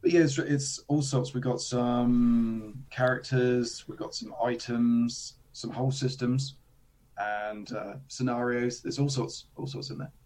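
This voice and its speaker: A man, a slightly hoarse guest chatting casually about tabletop games, with self-deprecating asides. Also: medium pitch, steady tone, a little slow, darkish tone. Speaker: guest, tabletop game designer. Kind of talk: casual interview chat.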